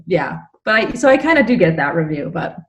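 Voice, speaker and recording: A woman's voice: lowish voice, sing-song, fairly quick, noisy background. A woman on a book panel, chatting casually and reading short reviews aloud.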